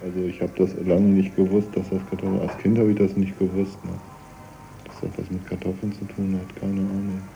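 A man's voice: sits low, completely flat delivery, brisk, vocal fry, dark tone, soft background music. A man chatting casually as he reminisces, on a dull cassette recording.

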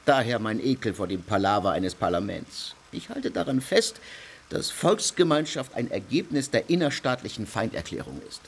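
An actor playing a pedantic, self-assured legal theorist in a radio drama, lecturing emphatically. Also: male voice, medium pitch, big pitch swings, normal speed, slight background noise. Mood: pompous, assured. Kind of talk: German radio drama acting.

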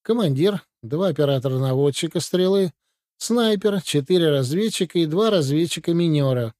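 A man reading a brisk Soviet military officer. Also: on the high side, lively intonation, darkish tone, no echo, studio quality, lightly acted. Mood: briefing. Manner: matter-of-fact briefing delivery. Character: male Soviet military adviser, brisk and commanding. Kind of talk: audiobook reading.

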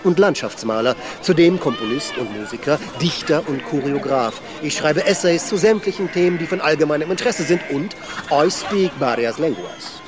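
An actor playing a talkative, self-satisfied, theatrical street portrait artist in a radio drama. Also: male voice, medium pitch, close to an everyday voice. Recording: music behind the voice.

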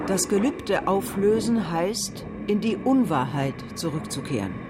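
An actor playing a grave teacher of ancient ritual lore in a radio drama, speaking in a measured way. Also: mid-range voice, expressive, over music, natural voice.

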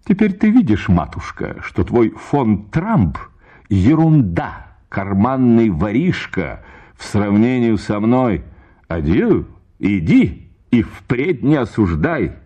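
A man's voice: on the low side, big pitch swings, slow pace, mellow, dull audio, exaggerated voice. A man playing a smug, cynical husband who drawls with teasing condescension in a dramatized short story. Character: smug, lazily mocking husband, cynical swindler.

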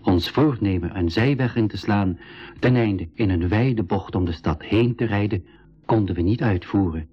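Low, husky storyteller. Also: male voice, muffled recording, faint music behind. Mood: sober, foreboding. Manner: literary, measured. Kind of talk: scripted first-person radio drama narration.

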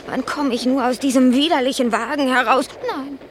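An actress playing a vain, irritable house cat in a German radio play, speaking with imperious, melodramatic disdain in a creaky voice. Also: female voice, high voice, fairly even intonation, a little fast, background noise, natural voice. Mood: smug, fretful.

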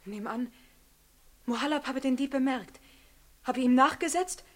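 An actress playing a tender, evasive noblewoman in a German radio play, pleading anxiously in a breathy voice. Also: sits high, a little slow.

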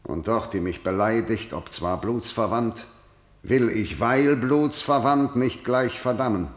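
A male actor playing a stern, imperious king, declaiming deliberately in a verse radio drama. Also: medium pitch, reverberant, close to an everyday voice. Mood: indignant. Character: stern, imperious king interrogating a defiant prisoner.

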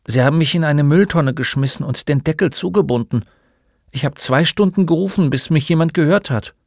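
A man's voice: fairly high pitch, fairly quick, creaky, no room sound, studio quality, pitched above the performer's own voice. A man playing a shy, bullied schoolboy in a storybook, speaking in a meek, hesitant way. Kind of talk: storybook character voice.

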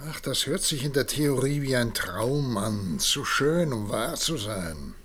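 A man's voice: medium pitch, expressive, measured pace, fairly bright tone, husky. An actor playing a cautious, doubtful president in a German science-fiction radio drama, emphatic in his disbelief. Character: cautious, doubtful male president weighing advice.

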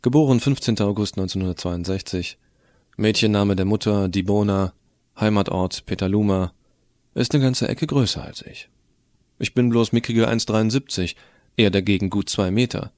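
Low-voiced, cocky schoolboy narrator played by a man. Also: moderate pace, darkish tone, dry recording, close to an everyday voice. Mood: mocking. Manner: sardonic, colloquial. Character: cocky, irreverent teenage schoolboy narrating his own story. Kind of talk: first-person scripted narration, fan audio drama.

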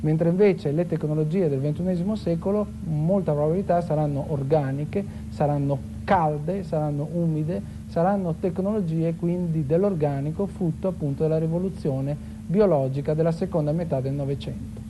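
A man giving an explanatory, lecture-like talk as a science expert, in a measured, didactic way. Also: a little high. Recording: clear background noise and ambience.

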